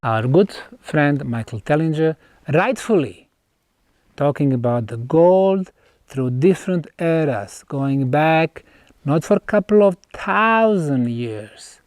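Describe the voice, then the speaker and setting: A man presents in the manner of an explanatory lecture, telling it like a story. His pitch swings widely as he stresses key words, and he breaks his sentences into short phrases. The pace is very unhurried, and the sound is dry and close. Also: animated, darkish tone, no echo. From Bosnia. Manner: emphatic, storytelling, pausing between short phrases. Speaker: researcher presenting an archaeological site. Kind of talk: unscripted explanatory lecture to camera.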